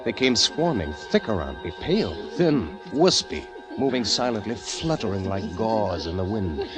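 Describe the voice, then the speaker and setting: Jittery poet diarist, deep voice, hesitant, intense, vintage radio drama, music underneath. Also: male voice, very animated, moderate pace. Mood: horrified, intense. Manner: hesitant, breathless intensity, stammering. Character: nervous, reclusive male poet narrating his diary. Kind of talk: dramatic first-person narration, old radio acting.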